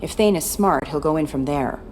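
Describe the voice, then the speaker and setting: A woman's voice: sits low, normal speed, darkish tone, slight background noise, natural voice. A woman playing a tough, cynical former security chief in video game dialogue, blunt and guarded. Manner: blunt, matter-of-fact.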